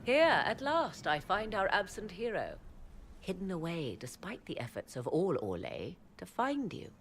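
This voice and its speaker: A woman's voice: on the low side, big pitch swings, a little slow, slight background noise. A woman voicing an aloof, sardonic apostate sorceress in a fantasy video game, with arch, theatrical, archaic phrasing. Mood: mocking.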